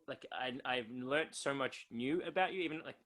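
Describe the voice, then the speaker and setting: A man's voice: mid-range voice, normal speed, fairly bright tone. An Australian man co-hosting a podcast, chatting casually and self-mockingly, drifting off on tangents. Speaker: podcast co-host. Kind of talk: casual chat.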